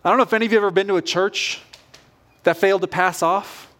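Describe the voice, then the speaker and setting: High-pitched male pastor, conversational sermon. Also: steady tone, fast talker, fairly bright tone. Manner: informal, self-questioning storytelling.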